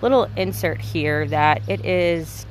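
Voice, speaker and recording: Soft-spoken woman hosting a podcast. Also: on the low side, slow, a little hiss or hum. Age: 20s. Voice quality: soft, quiet voice. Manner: chatty and candid, with light swearing. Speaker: podcast host. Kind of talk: casual solo podcast monologue.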